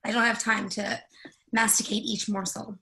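A woman's voice: medium pitch, flat delivery, normal speed, sharp tone, rough recording. A woman playing a harried, imperious professor in her fifties in a play reading, demanding and easily exasperated. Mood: impatient.

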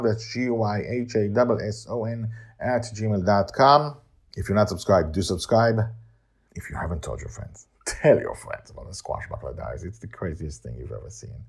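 A middle-aged man hosting a solo podcast, talking informally to listeners in an emphatic, halting way with swings in loudness. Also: fairly low pitch. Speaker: podcast host and author.

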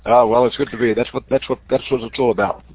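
A husky man, a guest author chatting in an interview in a friendly way. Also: medium pitch, fairly even intonation, quick pace. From New Zealand. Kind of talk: interview chat. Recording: tinny dry phone-like line.

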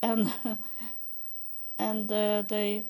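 Older Swedish-native woman, very slow and halting, dark gravelly voice, casual spiritual monologue. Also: medium pitch, completely flat delivery. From Sweden. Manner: halting, many pauses and uh fillers, searching for English words.

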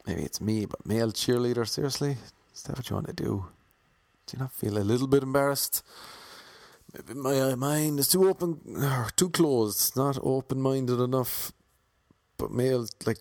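A man's voice: mid-range voice, gravelly, a little mellow, dry recording. A young man with an Irish accent telling wry, self-mocking stories about his auditions in a casual monologue. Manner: wry, self-mocking, playfully imitating others.

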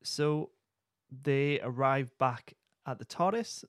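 A man's voice: medium pitch, animated, takes it slowly, fairly bright tone, dry recording, studio quality. A man co-hosting a podcast, loosely and a little hesitantly recapping a story in casual chat. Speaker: podcast co-host.

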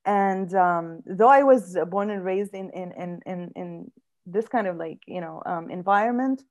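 A woman's voice: on the low side, a little slow, darkish tone. A young adult woman from Syria, an actress guest telling her story earnestly in an interview and often repeating words as she searches for them. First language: Arabic.

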